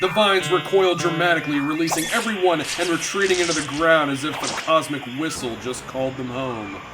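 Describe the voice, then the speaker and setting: A man's voice: on the high side, brisk, music in the background, close to an everyday voice, low-quality recording. A man playing a melodramatic storyteller narrating a sci-fi comedy radio drama. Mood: wry, dramatic. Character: dramatic male storyteller of space adventures.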